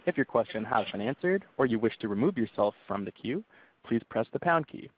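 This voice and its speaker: A man's voice: mid-range voice, animated, a little fast, slight background noise. A male operator comes through on a narrow, tinny phone line. He delivers scripted call instructions in a formal, procedural manner, with a mid-range voice. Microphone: telephone.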